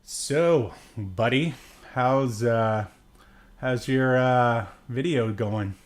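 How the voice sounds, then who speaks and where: A man's voice: medium pitch, drawn-out words. A man co-hosting a podcast, chatting casually about a movie in a joking, rambling way. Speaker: podcast co-host. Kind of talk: casual movie review chat.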